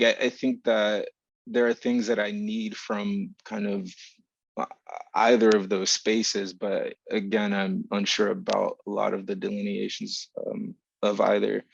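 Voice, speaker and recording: A man speaking reflectively as a writer on a panel, deliberate and halting as he searches for precise words. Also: on the low side, level tone, gravelly, no room sound. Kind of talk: reflective panel talk about craft. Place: remote video call. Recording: dull video-call audio.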